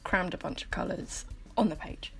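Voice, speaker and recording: A woman with a croaky just-woke-up voice, giving a chatty, informal voiceover as an artist explaining her process. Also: moderate pace, a bit bright. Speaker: artist making painting process videos. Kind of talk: casual voiceover commentary. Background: music.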